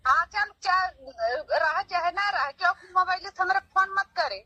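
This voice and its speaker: A woman's voice: very high-pitched, moderate pace, sharp tone, no echo. A middle-aged woman with a Bhojpuri accent, a mother scolding and brushing off the other person on a phone call. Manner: scolding, dismissive. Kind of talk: phone argument.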